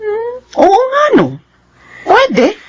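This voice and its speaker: An actress playing an affectionate, chatty Filipino wife in a radio drama. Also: female voice, extremely high pitch, sing-song, extremely slow, heavily acted. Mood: casual, concerned.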